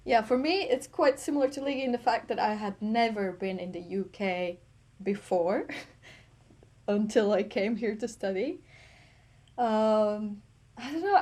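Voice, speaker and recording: Mellow-voiced Latvian-born woman, relaxed chat. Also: mid-range voice. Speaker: podcast co-host. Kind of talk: casual chat.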